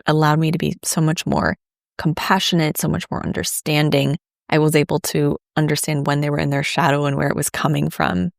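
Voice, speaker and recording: A woman astrologer hosting her own podcast, talking in an earnest, gentle, reflective way, her voice scratchy after an illness. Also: deep voice, vocal fry, no echo, studio quality. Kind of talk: solo podcast talk.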